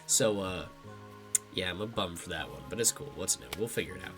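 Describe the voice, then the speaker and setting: A man's voice: sits low, expressive, fairly quick, over music. A young man with a congested, slightly nasal voice, casually thinking aloud while commentating his own card game match. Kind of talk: live gameplay commentary.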